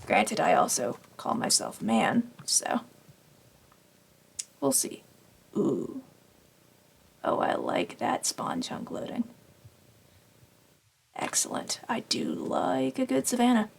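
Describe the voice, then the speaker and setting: A woman casually narrating her Minecraft game, rambling and self-deprecating, her voice slightly raspy from long talking. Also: fairly high pitch, huge pitch swings, slow, long pauses. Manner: rambling, self-deprecating, talks to herself while playing. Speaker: gaming channel host. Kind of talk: casual Minecraft let's play commentary.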